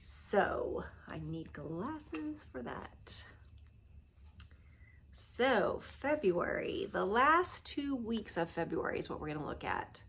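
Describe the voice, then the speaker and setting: A middle-aged woman with a nasal voice from a cold, chatting casually to camera in her living room, trailing off softly at the ends of phrases. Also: medium pitch, big pitch swings, slow, slight background noise. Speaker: reseller vlogger. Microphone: phone or camera close at arm's length.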